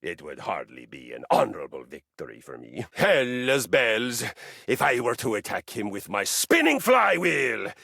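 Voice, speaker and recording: A living treadmill from a video game, a boastful warrior obsessed with honor and violence. His gravelly, husky voice swings wildly up and down in pitch. The delivery is pompous and grandly theatrical. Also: huge pitch swings, moderate pace, bright, forceful tone, no room sound, studio quality, exaggerated voice. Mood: amused, conversational. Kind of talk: theatrical game character acting.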